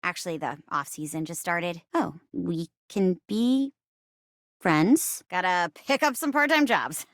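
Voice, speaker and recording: A woman playing a young female video game character, an earnest stage-actress chair come to life, talking in an animated, casual way. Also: lowish voice, no room sound, clean studio sound. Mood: cheerful, friendly. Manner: animated, earnest, casual, halting when uncomfortable.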